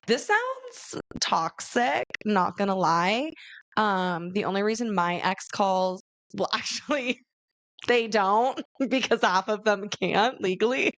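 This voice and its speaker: Raspy arizona girl chatting on her podcast, gravelly, dry close mic. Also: medium pitch, expressive, takes it slowly, a bit bright, dry recording. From Arizona, USA. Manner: chatty, laughs often, addresses listeners as girl. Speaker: podcast host. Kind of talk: casual solo podcast chat.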